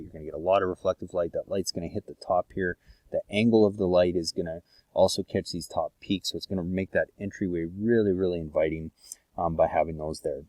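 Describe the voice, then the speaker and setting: A man giving a casual, relaxed voice-over consultation, explaining a lighting plan with many ums and uhs. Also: sits low, dry recording. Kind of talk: narrating an online design consultation over photos.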